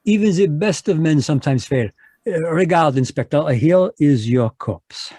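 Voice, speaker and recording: An actor playing a fussy, courtly Belgian detective, in a live radio drama over a video call. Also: male voice, fairly high pitch, animated, moderate pace, mellow, no echo, studio quality. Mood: smug, courtly. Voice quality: precise, courtly French-inflected delivery. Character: fussy male Belgian detective, polite and sly.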